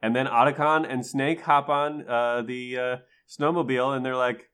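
A man's voice: medium pitch, fairly even intonation, moderate pace, a bit bright, clean tone. A man co-hosting a podcast, chatting casually in a jokey, slangy way as he retells stories. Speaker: podcast co-host. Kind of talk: casual chat recapping a video game story.

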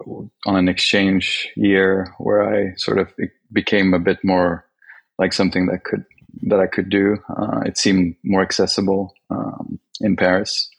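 A man from Sweden, a guest filmmaker, answering interview questions hesitantly with many pauses and restarts. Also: deep voice, flat delivery, creaky, slightly rough, low-quality recording.

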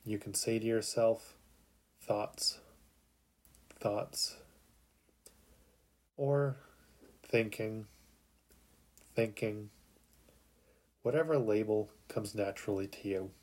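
A man narrating a guided sleep meditation in a calm, soothing, unhurried way with long pauses. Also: fairly low pitch, low-quality recording.

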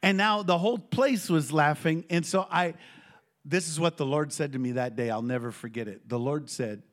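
A middle-aged man preaching to a congregation in a church, telling a personal story with lively call-and-response. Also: fairly high pitch, normal speed. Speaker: pastor.

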